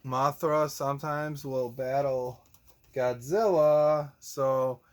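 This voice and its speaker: A man's voice: mid-range voice, steady tone, slow pace. A man casually hosting a playful show for children, chatting about toys in a goofy, theatrical way with silly exclamations. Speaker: children's show host and teacher. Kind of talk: casual playful chat for kids, showing toys.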